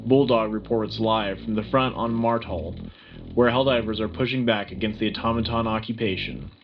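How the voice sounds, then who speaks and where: A man's voice: on the low side, normal speed, dull audio, background noise, close to an everyday voice. A man playing a rousing wartime radio anchor. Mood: rousing, grave. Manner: dramatic, declamatory. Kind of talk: scripted propaganda news broadcast. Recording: music bed behind the voice.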